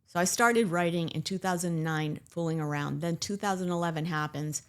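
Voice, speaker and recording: A woman's voice: on the low side, normal speed. A woman author with a New England accent, chatting candidly as an interview guest with self-deprecating humor. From Cuba. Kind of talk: casual interview chat.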